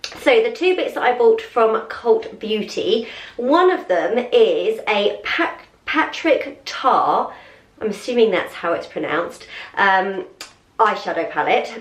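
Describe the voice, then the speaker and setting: A woman's voice: on the high side, sing-song, slow. A young woman chats away while trying on clothes at home, gushing and doubling her words for emphasis, her nose a little blocked from a cold. She sits back from the camera, so her voice sounds distant and bounces around an echoey room. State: slightly stuffy from a cold. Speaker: lifestyle vlogger. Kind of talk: casual try-on and unboxing chat.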